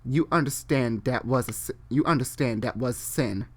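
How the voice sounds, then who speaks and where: A story reader plays a wrathful God addressing his son. He is stern and commanding at a middle pitch, with an accusing edge. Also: normal speed, dry recording, lightly acted.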